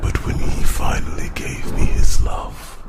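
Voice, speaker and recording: A man voicing a huge, proud, protective dragon with a growling, breathy voice, telling his tale solemnly with dramatic pauses in an ASMR fantasy roleplay. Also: natural voice. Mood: fond, wistful. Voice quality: very deep, gravelly, breathy growl. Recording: loud rushing ambient noise over voice.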